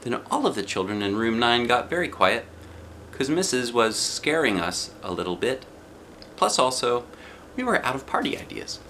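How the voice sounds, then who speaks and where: A middle-aged American man reading a children's storybook aloud in animated narration. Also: medium pitch, normal speed. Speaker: children's book reader. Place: living room, indoors. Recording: noticeable background noise.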